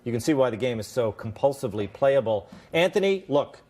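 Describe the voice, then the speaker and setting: Male panel host, animated lilting delivery, playful teasing asides. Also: medium pitch. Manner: jokey, quick teasing asides. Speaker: talk show host. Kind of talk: late-night panel banter.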